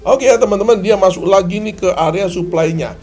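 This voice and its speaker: Slightly hoarse man teaching, higher-pitched voice. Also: brisk, darkish tone, soft background music. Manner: didactic, asks and answers own questions, addresses viewers as friends. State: hoarse, often clearing throat. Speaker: trading instructor. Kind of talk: tutorial commentary on a chart replay.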